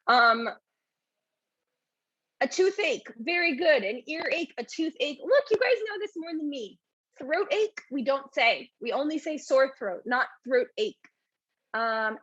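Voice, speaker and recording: A woman teaches a live online English class, chatting casually and answering questions from the chat as they come in. Her voice sits a little high and has a husky edge. The sound is dry and somewhat dull, like a home computer mic on a stream. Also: lively intonation, measured pace, fairly bright tone, no room sound.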